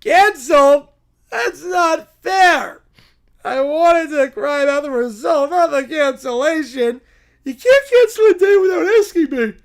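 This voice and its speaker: A bratty small child acted out by a woman whines in a high voice with big pitch swings. The child is weepy and petulant, complaining in cartoon-skit style. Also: lively intonation, unhurried. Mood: upset, indignant.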